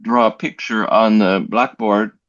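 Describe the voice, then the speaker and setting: A man's voice: lowish voice, unhurried, no room sound, dull audio. A man, a guest, chatting casually on a video call, rambling and restarting sentences as he recalls things. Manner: relaxed, rambling, with frequent restarts. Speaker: interview guest, language learner. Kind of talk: casual conversation.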